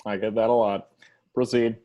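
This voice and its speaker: A man playing an officious, unflappable security guard in a screenplay reading, with a flat procedural manner. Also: lowish voice, moderate pace, muffled recording, close to an everyday voice.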